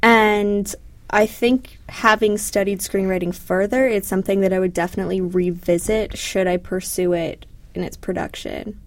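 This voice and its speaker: Young woman from Canada, mid-pitched voice, articulate interview guest, dry close mic. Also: steady tone, normal speed, no echo. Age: young adult. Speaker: podcast guest, graduate student. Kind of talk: interview answers.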